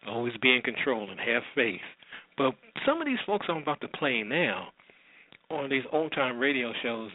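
Male radio host: mid-pitched, conversational and folksy, off-the-cuff intros, dry and slightly muffled recording. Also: dry recording. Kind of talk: casual unscripted show introduction. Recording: dull, muffled, lo-fi, dry sound.

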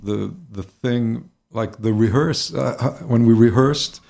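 A man's voice: mid-range voice, slow pace, creaky, darkish tone. A man with a Swedish accent talking about his singing career in English, hesitant and full of fillers and repeated words. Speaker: singer, interview guest. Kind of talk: casual conversational interview.

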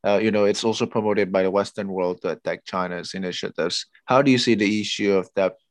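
A man from Indonesia hosting an interview, asking measured questions with some fillers. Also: lowish voice, steady tone, no echo, studio quality. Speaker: interviewer, host.

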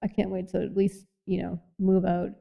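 Gravelly young woman hosting a podcast. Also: lowish voice, completely flat delivery, moderate pace, narrow, tinny audio. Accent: light Boston. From Boston. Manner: rambling, many "like" fillers, laughs often. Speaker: podcast host. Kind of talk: casual chat.

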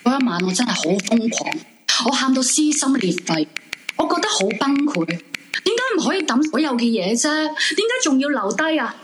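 A woman's voice: sits high, big pitch swings, brisk, noisy background. An actress playing an anguished, sleepless young woman left by her boyfriend, emotional and pleading, in a Cantonese radio drama. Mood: distraught, bitter. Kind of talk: Cantonese live radio drama acting with narrated monologue. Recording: music behind the voice. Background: background music.

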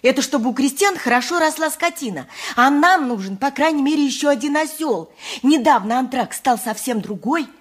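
An actress playing a donkey driver's simple wife in a radio play, speaking in a naive, matter-of-fact way. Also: female voice, sits high, expressive, a little fast. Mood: naive, pleased. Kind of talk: 1980s radio play monologue.

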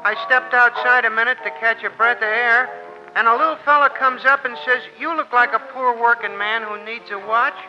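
Old radio, dull sound with music behind: good-natured American barkeep, high-pitched, slangy, folksy. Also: male voice, steady tone, brisk. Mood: puzzled, chatty. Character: simple, chatty working-class male bartender, good-natured. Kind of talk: 1940s radio drama acting.

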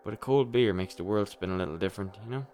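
A man's voice: fairly low pitch, fast, a little mellow, close to an everyday voice. A man acting a weary, hard-drinking, despairing superhero in a darkly comic radio play, brooding and rambling with a sardonic edge. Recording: music playing under the voice.

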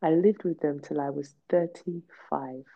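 A low-voiced woman speaks with blunt, emphatic force, repeating words to drive her point home. Her tone stays candid and personal, and the recording sounds dull and lo-fi. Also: steady tone, a little slow, creaky, dark tone. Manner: emphatic, blunt, repetitive for stress. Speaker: podcast host. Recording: dull lo-fi podcast audio.

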